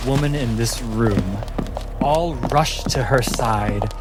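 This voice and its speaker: A man narrating a tabletop fantasy story as game master, in deliberate, suspenseful fragments. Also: medium pitch. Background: background music.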